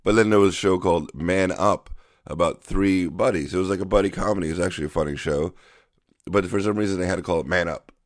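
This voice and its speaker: A man co-hosting a casual comedy podcast, joking and laughing through quick back-and-forth chat. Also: low voice, dry recording, studio quality. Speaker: podcast co-host.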